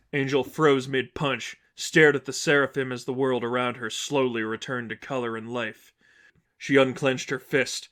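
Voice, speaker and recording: Male narrator for dramatic fan fiction, medium pitch, scripted story delivery. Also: fairly bright tone.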